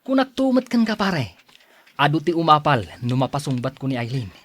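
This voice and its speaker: A man's voice: on the high side, very animated, moderate pace, fairly bright tone, dry recording. A man with an Ilocano accent playing an earnest, lovestruck young suitor and devoted son in a melodramatic radio drama. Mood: eager.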